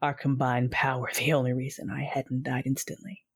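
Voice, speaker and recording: An actress voicing a tormented young Sith woman who narrates her own story, intense and low, dropping to ragged whispers, over dramatic music. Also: flat delivery, normal speed, husky, exaggerated voice. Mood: fading. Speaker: first-person narrator.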